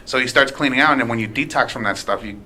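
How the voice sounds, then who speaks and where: A man's voice: medium pitch, quick pace, bright, forceful tone, slight background noise. A man in his late twenties, a guest telling stories in a casual, profane, rambling way with many restarts. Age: late 20s. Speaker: podcast guest, aspiring comedian. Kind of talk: casual storytelling chat.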